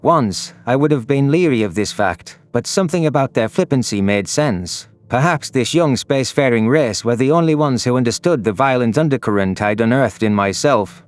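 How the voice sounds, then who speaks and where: A man's voice: mid-range voice, expressive, moderate pace, hardly any pauses. A man narrating a scripted first-person science fiction story. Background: background music.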